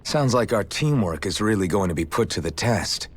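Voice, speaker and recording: An actor playing a wry, determined young swordsman in a fantasy video game, husky and plain-spoken. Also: on the low side, big pitch swings, moderate pace. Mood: calm, determined. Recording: faint game music under voice.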